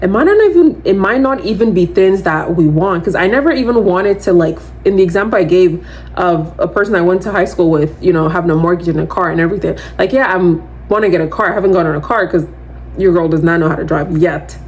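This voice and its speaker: A woman in her twenties with a loud voice, hosting a podcast in casual, rambling solo chat, full of "like" and animated asides. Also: fairly low pitch, fast. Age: 20s. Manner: chatty, rambling, heavy use of "like"; animated, slips into quoting others. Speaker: podcast host.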